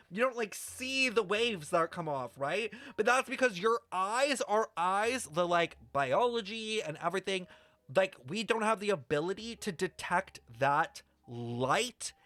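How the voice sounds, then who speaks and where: A brash streamer rambles through an explanation in a casual, theatrical way, playfully scolding the chat with plenty of swearing. The pitch swings widely and the words are drawn out slowly. The room sounds echoey, with faint music behind. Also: fairly bright tone. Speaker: streamer reacting to a video game story.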